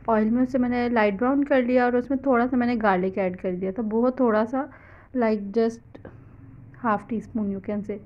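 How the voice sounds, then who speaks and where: A woman's voice: a little high, lo-fi sound. Chatty Pakistani woman, kitchen voice-over. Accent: Pakistani Urdu. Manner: chatty, loose and explanatory, mixing in English words. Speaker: cooking vlogger. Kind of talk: casual cooking voice-over. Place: home kitchen.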